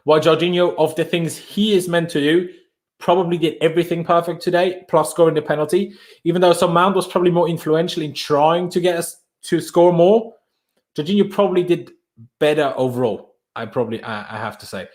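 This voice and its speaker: Chatty man on a late live stream, slightly high voice. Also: a little fast, a little mellow. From Austria. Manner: chatty, loose, calls viewers bro. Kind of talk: casual live stream chat reading viewer comments.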